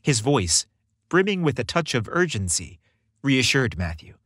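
A man's voice: medium pitch, sing-song, measured pace, a bit bright, breathy edge, no echo, studio quality. A man reading a romantic story aloud as a scripted narration.

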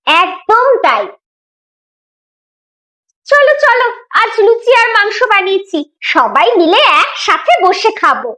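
A woman's voice: extremely high pitch, very animated, slow, pauses often, bright, forceful tone, full character voice. A woman voicing a fussy but caring village mother in a moral cartoon, homely and exclaiming. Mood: warm, approving. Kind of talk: Bengali moral cartoon dialogue.

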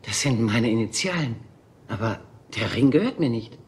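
A woman's voice: a bass voice, animated, moderate pace. An actress playing an aging female film star in a German crime drama dub, poised and theatrical. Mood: guarded, elegant.

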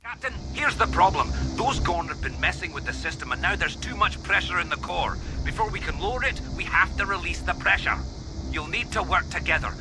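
A man playing a fretful, excitable Scottish starship engineer in a video game, brisk and wry. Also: high-pitched, noisy background. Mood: concerned, explaining. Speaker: chief engineer character. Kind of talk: video game acting, urgent mission radio chatter. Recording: loud game music over voice.

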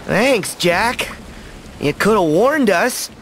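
An actor playing a plucky, earnest young adventurer in a video game, with a boyish voice. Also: male voice, sits high, expressive, takes it slowly, noisy background. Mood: exasperated. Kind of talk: video game cutscene acting.

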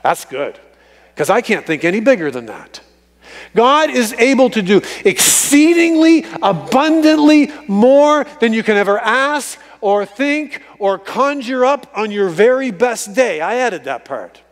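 A man in his fifties preaching a sermon to a congregation in an animated way, repeating phrases for emphasis. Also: very high-pitched, unhurried. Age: fifties, fifty-four. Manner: animated, emphatic repetition for effect. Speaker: guest preacher. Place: large church sanctuary, on stage before congregation. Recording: reverberant church hall.

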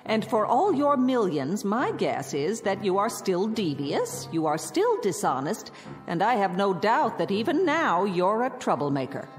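An actress playing a severe former schoolteacher in a radio drama, crisp and cutting as she lectures. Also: mid-range voice, big pitch swings, measured pace, in a large hall, soft background music. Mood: stern scornful. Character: severe strict former schoolteacher woman, stern and scornful.

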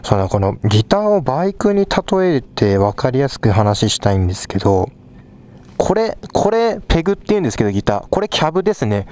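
A man's voice: medium pitch, big pitch swings, fairly quick. A young man in a small rehearsal studio, casually explaining his instruments while he plays them. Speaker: vlogger. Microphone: small clip mic on a head-mounted camera. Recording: live drums and guitar behind voice.

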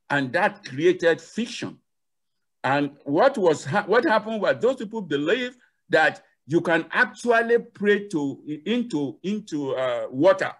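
Animated, high-pitched older man. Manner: emphatic, repeats key phrases, asks and answers own questions. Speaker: university lecturer, guest expert. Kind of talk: explanatory interview talk. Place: indoor room at home. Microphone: headset on a video call.

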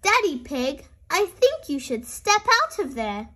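Woman as storybook bull builder, male, helpful, matter-of-fact, high, unhurried. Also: big pitch swings, sharp tone, exaggerated voice. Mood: warning.

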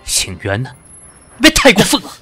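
A man plays a proud, sardonic company boss in an audio drama. His voice is bright and forceful with lively swings, and he talks in a condescending, needling way. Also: high voice, moderate pace, slightly rough, faint music behind, full character voice. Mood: angry. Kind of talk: modern audio drama acting.

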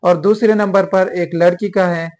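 A man's voice: high-pitched, steady tone, normal speed, darkish tone. A young man hosting a step-by-step phone tutorial, speaking directly to his viewers. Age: young adult. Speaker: tech tutorial host. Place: small indoor room at home.

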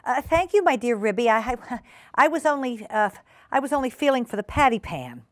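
Woman acting a high, stammering, flustered lady dog. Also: sing-song, brisk, no room sound, clean studio sound. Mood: embarrassed, stammering. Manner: stammering, hesitant, overpolite. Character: anxious, flustered female dog guest, prone to wailing.

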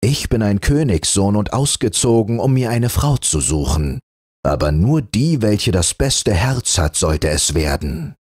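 A man's voice: lowish voice, sing-song, unhurried, slightly rough, no room sound, clean studio sound, exaggerated voice. Man playing a tender, noble young prince. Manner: gentle, reassuring.

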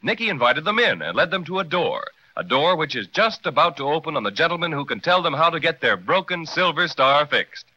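A suspense-building children's radio narrator from the 1930s. The voice is slightly high, very forceful and animated. It comes through a dull, dated broadcast recording. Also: male voice, a little fast, few pauses, exaggerated voice. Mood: storytelling. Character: warmly dramatic storyteller announcer of a children's serial.

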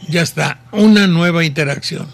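A man's voice: on the high side, vocal fry. An older man with a Mexican accent hosting a radio show, reading listener messages in a rambling, folksy way, with a sore throat. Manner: rambling, folksy, slow and unhurried. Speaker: radio host. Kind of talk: casual on-air commentary reading messages. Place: home study with bookshelves.

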